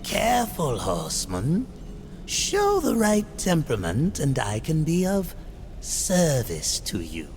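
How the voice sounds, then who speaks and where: An actor playing a sly, greedy soul-eating demon merchant in a video game, with an insinuating, theatrical delivery that draws words out. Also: male voice, on the high side, huge pitch swings, slow, a little mellow, soft background music, exaggerated voice. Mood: sly, taunting. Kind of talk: video game cutscene acting.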